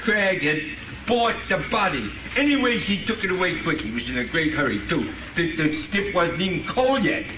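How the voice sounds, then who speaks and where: A man's voice: fairly high pitch, sing-song, moderate pace. An actor playing an obliging, talkative working man with colloquial speech in a 1930s radio drama. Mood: chatty. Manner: colloquial, chatty. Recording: muffled, noisy, distant, echoey old radio recording.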